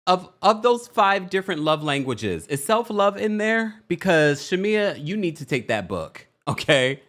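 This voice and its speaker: A man with a deep voice hosts a casual celebrity news show. He talks in a chatty, animated way and laughs often. His pitch swings widely from low to high. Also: measured pace. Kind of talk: casual commentary livestream.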